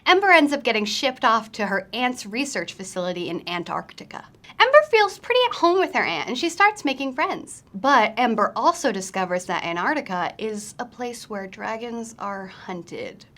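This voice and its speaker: Woman, fairly high voice, book show host, scripted recommendations, presenter delivery. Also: sing-song, normal speed, a bit bright.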